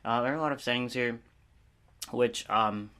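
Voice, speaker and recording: A man's voice: mid-range voice, normal speed, sharp tone, clean tone. A man giving a casual, unscripted review as a video host, rambling informally with a self-deprecating manner.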